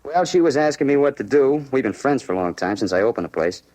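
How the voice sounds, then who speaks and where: An American actor playing a talkative, eager bar owner full of street slang in a 1950s radio crime drama. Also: male voice, medium pitch, animated, quick pace, darkish tone, close to an everyday voice, rough recording. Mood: confiding.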